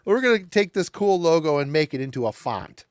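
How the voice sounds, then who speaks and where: Male co-host, slightly high pitch, emphatic and casual, dry close mic. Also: very animated, brisk, clean tone, dry recording. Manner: emphatic, repeats himself, swears casually. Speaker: podcast co-host. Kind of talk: casual chat about metal albums.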